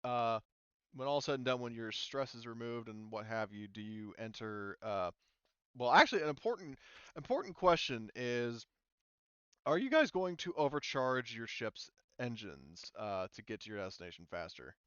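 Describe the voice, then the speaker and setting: Smooth, dry-miked man running a game. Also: mid-range voice, very animated, a bit bright, no room sound, highs cut off. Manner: halting, thinking aloud while checking rules. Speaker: game master. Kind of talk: casual narration of a tabletop roleplaying game.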